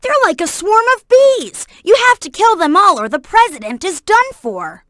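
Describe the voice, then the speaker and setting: A woman voicing a young boy fox sidekick in a video game, earnest, helpful and excitable, with clear childlike delivery. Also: extremely high pitch, normal speed, no room sound, studio quality, exaggerated voice. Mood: earnest, instructive. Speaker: video game character giving hints. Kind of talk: game voice acting, tutorial hints and alerts.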